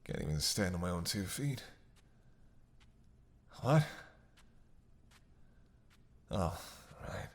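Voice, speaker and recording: A man playing a starving captive vampire in a roleplay audio drama, sardonic and menacing, speaking haltingly with teasing bite. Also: sits low, sing-song, full character voice. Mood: sardonic, helpless. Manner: sardonic, halting, breathy sighs between phrases.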